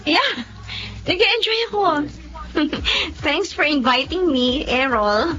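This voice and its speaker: A woman's voice: sits high, expressive, slow pace, sharp tone, highs cut off, exaggerated voice. A woman playing a demure, friendly young woman with a Filipino accent in a radio drama. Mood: sweet.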